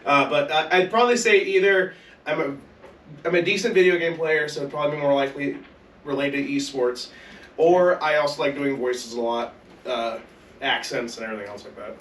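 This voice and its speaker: A man's voice: fairly high pitch, fairly quick, fairly bright tone. A young man co-hosting a casual gaming podcast, joking, swearing freely and tripping over his words. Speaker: podcast co-host. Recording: roomy echo, distant mic, faint noise.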